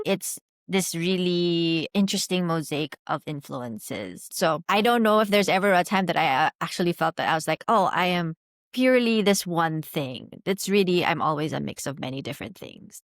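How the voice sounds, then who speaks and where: A woman in her thirties from the Philippines talks through her answers in a thoughtful, searching way. Her voice sits a little low. The sound is dry and close, with no room around it. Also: dry recording. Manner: thoughtful, hedging with fillers. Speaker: guest. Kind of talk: conversational interview answers.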